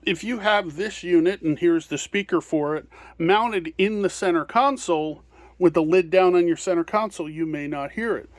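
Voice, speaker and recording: A man presenting products in an informal step-by-step tutorial, explaining in a practical, somewhat halting way. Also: high-pitched, dry recording. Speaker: product presenter and small business owner.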